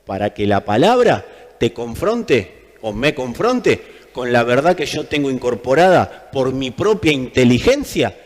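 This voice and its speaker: A man's voice: mid-range voice, sing-song, unhurried. A man with an Argentine accent preaching a sermon in an emphatic manner, repeating phrases for effect. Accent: Argentine Spanish. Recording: long hall reverb.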